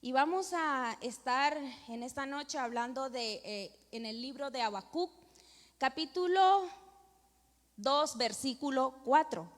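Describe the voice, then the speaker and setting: High-pitched Venezuelan woman preaching in a hall. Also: animated, slow. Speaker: pastor. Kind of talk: church sermon and prayer. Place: church hall with long reverb. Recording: reverberant hall.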